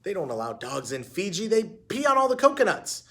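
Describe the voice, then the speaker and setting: A man's voice: high-pitched, very animated, a little fast, pushed and bright, pitched above the performer's own voice, exaggerated voice. A man voicing a bold, defiant orphan girl who talks in a bossy, urgent way, in a storybook reading. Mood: exasperated.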